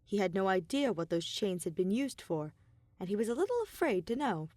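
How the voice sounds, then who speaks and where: A woman reading scripted fiction as an audiobook narrator, with expressive, deliberate storytelling. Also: medium pitch, no echo. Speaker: podfic narrator.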